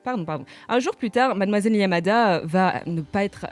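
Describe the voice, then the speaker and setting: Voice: mid-range voice, expressive, a little fast, soft background music. Chroniqueur radio enjoué et blagueur. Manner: playful, jokey asides to the audience. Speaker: radio show columnist presenting manga. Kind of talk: casual radio chat with prepared review. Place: radio studio.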